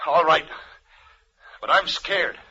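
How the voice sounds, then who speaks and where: An actor playing a frightened, whining young accountant crook on a phone in a radio thriller. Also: male voice, fairly high pitch, takes it slowly, loud music behind the voice. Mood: panicky, scared. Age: young adult. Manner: whining, nervous. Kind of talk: old radio thriller acting. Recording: dull sound, phone-booth call.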